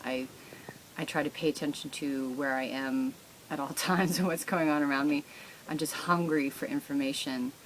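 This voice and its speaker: An American woman with a low voice speaks informally as an interviewed writer. She is expressive and candid. There is noticeable background noise on the recording. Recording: clearly noisy background.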